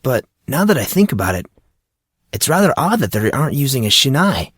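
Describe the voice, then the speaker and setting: A man's voice: mid-range voice, big pitch swings, brisk, fairly bright tone, husky, no echo, clean studio sound, voice pushed up. A man voicing a laid-back teenage boy who is keen on martial arts and talks in casual dude-and-bro slang.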